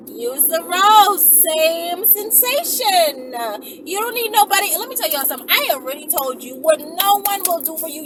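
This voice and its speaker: Animated high-voiced woman, casual and teasing. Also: noisy background. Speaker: vlogger. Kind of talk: casual direct-to-viewer chat. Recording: distant mic, loud music behind.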